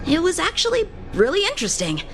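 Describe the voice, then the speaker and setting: A woman's voice: extremely high pitch, wildly swooping pitch, fast, heavily acted. A woman playing an earnest, eager young boy soldier in a video game, candid and youthful. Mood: chatty, curious. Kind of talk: video game dialogue acting. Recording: music under the voice.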